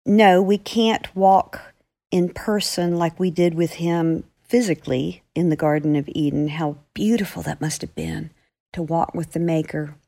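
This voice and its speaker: A woman with a slightly low voice offers devotional commentary. She is earnest and reflective, explaining things like a teacher. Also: fairly even intonation, unhurried, gravelly, dark tone. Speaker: author and commentator.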